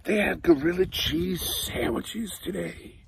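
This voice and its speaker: A man voicing a silly, cheerful woman teacher who mixes up her words, speaking slowly and sing-song. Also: high voice, vocal fry, pitched above the performer's own voice, exaggerated voice. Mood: playful.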